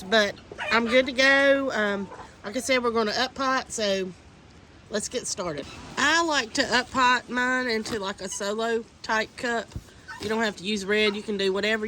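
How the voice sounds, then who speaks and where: A woman's voice: a little high, a bit bright. A woman with a stuffy, congested voice, chatting casually as she shows how to repot seedlings outdoors in the wind. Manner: chatty, homey and instructive. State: congested from a cold. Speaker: homesteading vlogger. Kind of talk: casual how-to gardening vlog. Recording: faint wind noise outdoors.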